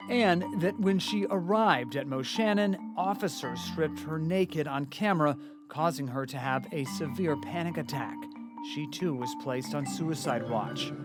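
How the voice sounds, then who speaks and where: Male news reporter, higher voice, measured investigative narration over clear music bed.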